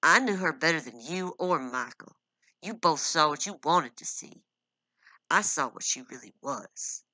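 Storybook: woman narrator doing a brooding, harsh husband, bright and close, nothing roomy. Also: on the high side, very animated, a little slow, heavily acted. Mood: harsh, angry. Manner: harsh, clipped, through gritted teeth. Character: bitter hot-tempered husband, harsh and brooding.

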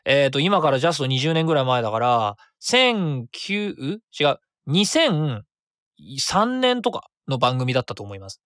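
A man's voice: on the high side, dry recording, studio quality. A man in his thirties hosting a solo web radio show, rambling casually and correcting himself as he goes. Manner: rambling, self-correcting, quick and animated.

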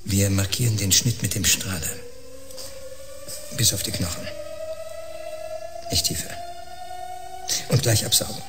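An actor playing a brisk, exacting male surgeon in a sci-fi radio drama, giving clipped commands. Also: sits low. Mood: focused, commanding. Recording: music under the voice.

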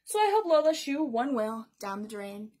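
A woman's voice: on the high side, big pitch swings, normal speed, a little mellow. A young woman hosting a library storytime, reading a picture book aloud expressively and putting questions to young listeners. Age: young adult. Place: small bedroom indoors.

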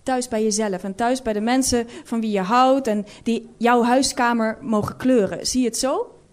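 A woman hosts a cultural salon, presenting live to the room. Her voice is a little higher than average. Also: fairly even intonation, moderate pace.

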